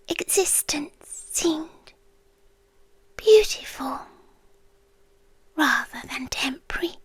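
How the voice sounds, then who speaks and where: Woman voicing a slow, whispering British cosmic female entity. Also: high voice, dry recording, heavily acted. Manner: slow, hushed, deliberate delivery with long pauses. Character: ancient female end-of-the-world entity, lovestruck, intimate, obsessive. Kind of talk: whispered ASMR roleplay. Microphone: close, dry studio microphone.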